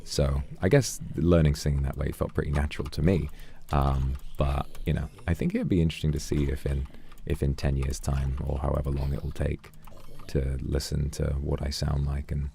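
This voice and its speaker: A man with an extremely low voice, dark and gravelly at the edges. He chats calmly and reflectively while streaming a game, pausing now and then to thank viewers for donations. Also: a little hiss or hum. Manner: calm, reflective, earnest. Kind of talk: casual livestream chat while gaming.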